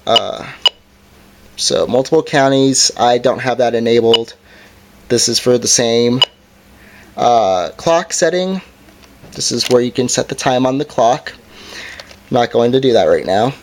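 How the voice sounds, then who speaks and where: A man's voice: mid-range voice, measured pace, pauses often, slight background noise. A man giving a casual hands-on product review as its presenter, in an informal, hesitant and somewhat apologetic way.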